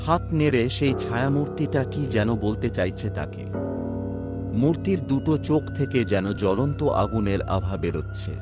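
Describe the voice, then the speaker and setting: A man narrating a scripted horror story in a dramatic, suspenseful, measured literary manner. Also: fairly low pitch. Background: background music.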